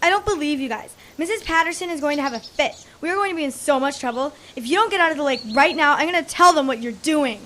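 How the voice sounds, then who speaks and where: A fussy, prim young girl acted by a woman scolds in a radio play. Her voice is high, bright and quick, over faint outdoor noise. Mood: scolding. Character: fussy, rule-bound tattletale young girl camper. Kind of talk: audio drama acting.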